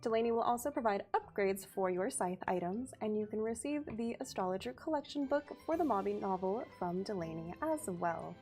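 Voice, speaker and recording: Woman, community manager, mid-pitched, upbeat and giggly, livestream presentation, faint music behind. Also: animated, a little fast, hardly any pauses, a little mellow. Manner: upbeat, giggly, reads skill details steadily. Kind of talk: livestream game update presentation.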